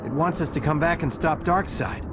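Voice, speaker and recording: A man playing a cocky, quippy young superhero in a video game, flippant and energetic. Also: a little high, normal speed, noisy background, natural voice. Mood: earnest.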